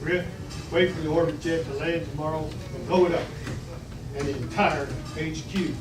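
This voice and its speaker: Guy reading bossy sci-fi villain, deliberate, roomy sound w/ background noise. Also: fairly high pitch, fairly even intonation, close to an everyday voice. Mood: commanding. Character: Gargantua, commanding, scheming male villain. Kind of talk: table read of a sci-fi script. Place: restaurant dining room table. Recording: distant, echoey, noisy restaurant.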